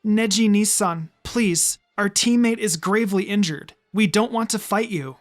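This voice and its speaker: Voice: medium pitch, measured pace, soft background music, close to an everyday voice. A narrator as a timid, hesitant ninja girl. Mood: pleading. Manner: hesitant, stammering. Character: timid, gentle, anxious teenage girl ninja.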